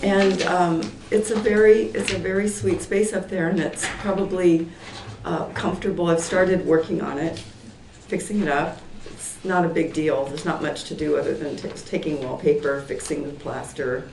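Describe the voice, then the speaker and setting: A hoarse woman in her sixties who is losing her voice. She speaks at a public hearing and rambles with fillers and restarts. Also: deep voice, fairly even intonation, moderate pace, darkish tone. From Portland, Oregon, US. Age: 60s. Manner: rambling, many fillers and restarts, laughs lightly. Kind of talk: informal testimony at a town meeting. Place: town meeting room. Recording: echoey hall, far from the mic, faint noise.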